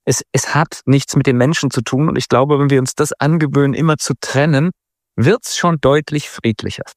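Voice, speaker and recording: A man's voice: medium pitch, fairly even intonation, normal speed, no room sound, clean studio sound. A man hosting a weekly interview conversation. He talks in an engaged way, often checking in with short tag questions. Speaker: host.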